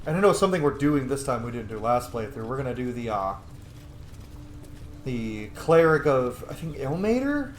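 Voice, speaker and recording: A man's voice: medium pitch, sing-song, moderate pace, roomy sound, slight background noise. A man giving casual, playful, sweary commentary as he plays a video game. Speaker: gaming video host.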